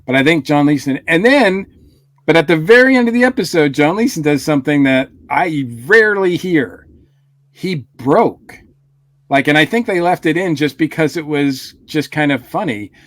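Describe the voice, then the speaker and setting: A man's voice: on the high side, no echo. A man co-hosting a podcast, chatting casually in a hesitant, rambling way with plenty of fillers. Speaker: podcast co-host. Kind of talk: casual review chat.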